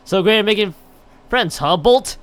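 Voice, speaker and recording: A man's voice: high-pitched, lively intonation, moderate pace, fairly bright tone, clean tone, pitched above the performer's own voice. A man voicing a blunt, scornful young female pro gamer in a visual novel, snappy and dismissive. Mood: sarcastic.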